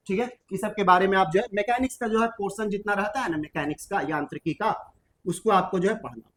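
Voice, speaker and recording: A man's voice: high voice, slight background noise. A man with a Bihari accent giving an informal exam-coaching lecture as a teacher, emphatic and often repeating himself. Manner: emphatic, repetitive, with colloquial tag phrases.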